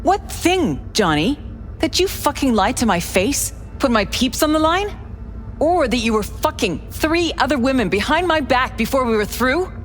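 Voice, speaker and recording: Bitter female merc for a game: biting, blunt, animated intonation, light music. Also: sits high, moderate pace. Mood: bitter anger. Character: hard-bitten, bitter female mercenary, a scornful ex-lover. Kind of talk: video game drama acting.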